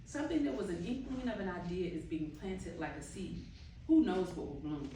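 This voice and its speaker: A woman's voice: on the low side, normal speed, dark tone, slight background noise, close to an everyday voice. A woman reading aloud as an eager young female photographer in a novel, recorded from afar in an echoey room. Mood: excited. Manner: read aloud from a novel in first person. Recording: echoey room, distant mic.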